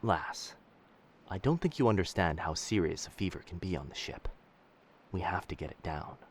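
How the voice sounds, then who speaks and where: A man playing a dangerous but gentle pirate captain in an intimate roleplay audio drama, protective and commanding, with old-fashioned seafaring speech. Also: low-pitched, lively intonation, normal speed, slight background noise. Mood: firm, urgent.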